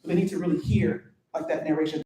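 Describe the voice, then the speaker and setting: Excitable woman poet, far and echoing. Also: sits low, quick pace. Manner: excitable, laughing, gesturing storyteller. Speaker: poet and teacher, panelist. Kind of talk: panel talk. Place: event venue, live panel. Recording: very echoey, distant from the mic.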